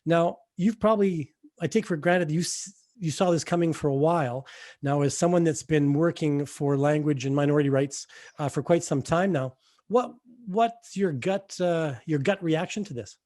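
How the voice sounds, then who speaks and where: A man with a fairly high voice hosts an interview podcast. He reads a scripted introduction and then poses questions in a measured, slightly hesitant way. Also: darkish tone. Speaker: podcast host.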